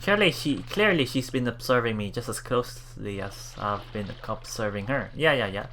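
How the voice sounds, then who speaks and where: A man hosting a let's play, reading game text aloud and adding casual, halting commentary with repeated words and exclamations. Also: mid-range voice, expressive, a bit bright, a little hiss or hum. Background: game music.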